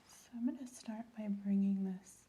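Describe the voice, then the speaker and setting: A woman plays a calm, attentive doctor in an ASMR roleplay. Her voice is mellow and soft, often slipping into a whisper, and she gives gentle instructions and checks in soothingly. Some noise sits behind the voice. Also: medium pitch, moderate pace, natural voice. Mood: calm, reassuring.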